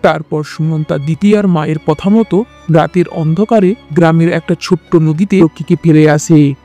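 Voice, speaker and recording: A man's voice: a little high, fairly even intonation, normal speed, warm tone. A man reading scripted narration for a cartoon folk-style tale. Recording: music bed behind the voice.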